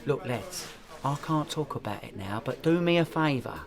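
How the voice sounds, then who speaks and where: Actor as cocky young London wannabe gangster. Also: male voice, medium pitch, lively intonation, normal speed, natural voice. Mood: cocky, evasive. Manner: matter-of-fact, bragging, slangy. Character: cocky deluded young petty criminal, boastful wannabe gangster. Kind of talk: comic radio play acting.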